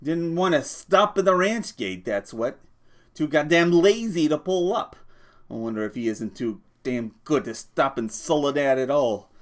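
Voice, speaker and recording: A man's voice: mid-range voice, animated, moderate pace, close to an everyday voice. A man voicing a small, irritable working man who scolds and swears, from a storybook. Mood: exasperated. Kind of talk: audiobook character voice.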